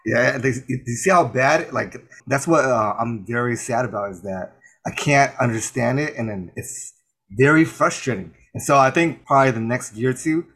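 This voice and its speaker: A man in his thirties from the United States, hosting a casual interview in an earnest, halting way with many restarts. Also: mid-range voice, a bit bright, slightly rough.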